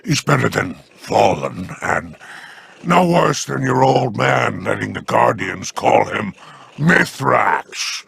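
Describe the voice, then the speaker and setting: An actor playing a sly, scheming rogue in a video game, with a gravelly voice and a smug, teasing manner. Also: male voice, medium pitch, animated, slow pace, a bit bright, a little hiss or hum, full character voice.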